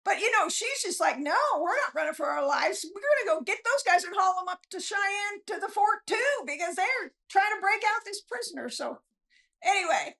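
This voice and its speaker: Casting: female author guest, very high and bright voice, casual animated storyteller. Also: moderate pace. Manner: animated storyteller, acts out bits of dialogue. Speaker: guest novelist. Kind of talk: casual interview chat.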